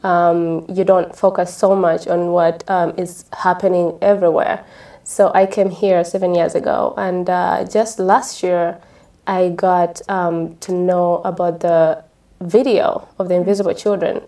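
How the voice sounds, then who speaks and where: A woman's voice: lowish voice, flat delivery, a little slow, dark tone. A woman from Uganda with a Ugandan accent, giving a personal account as an interview guest. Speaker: charity liaison, interview guest. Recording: somewhat distant from the mic.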